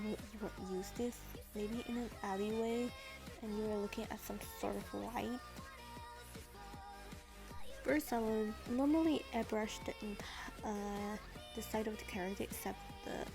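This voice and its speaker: A woman's voice: fairly high pitch, rough recording. A teenage girl narrating a drawing tutorial in a casual, rambling way, with hesitant restarts and chatty side stories. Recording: voice-over with clear background music. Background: background music.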